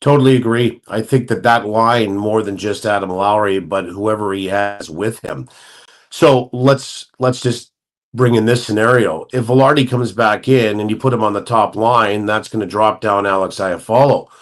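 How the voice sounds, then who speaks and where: A man's voice: on the low side, normal speed. A man talking as a hockey analyst on a sports talk show, laying out scenarios at length and thinking aloud.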